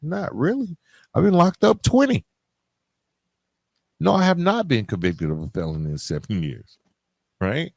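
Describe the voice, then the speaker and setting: A man's voice: fairly high pitch, very animated, unhurried, vocal fry, pauses often, no room sound, clean studio sound. A middle-aged man hosting a podcast, talking informally and directly to his listeners in a conversational solo monologue. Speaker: podcast host.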